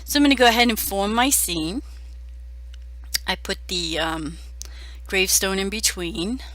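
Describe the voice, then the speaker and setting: Hoarse middle-aged woman crafter, chatty and self-deprecating, bright tone. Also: mid-range voice, a little slow, dry recording. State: hoarse from a cold. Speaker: card-making crafter. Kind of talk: casual crafting voice-over.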